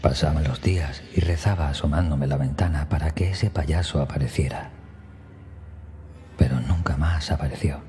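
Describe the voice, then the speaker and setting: A man narrating a scripted first-person horror story in a hushed, breathy manner that often nears a whisper, with music behind him. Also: a bass voice, moderate pace. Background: background music.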